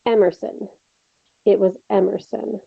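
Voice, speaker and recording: A woman acts a wry young friend in a horror drama, teasing but protective. Her mid-pitched voice has plenty of creak, and the recording is muffled and lo-fi. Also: level tone, a little slow, no room sound, exaggerated voice. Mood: matter-of-fact. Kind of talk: table read of a horror film script. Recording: dull, lo-fi microphone sound.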